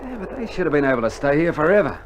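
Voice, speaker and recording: A slangy, excitable Australian soldier. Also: male voice, fairly high pitch, very fast, highs cut off, a little hiss or hum. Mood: wry, relaxed. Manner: slangy, exclamatory. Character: excitable young Australian infantry soldier in battle. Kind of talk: 1960s radio war drama acting. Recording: old vinyl radio drama, loud gunfire behind. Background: gunfire and artillery.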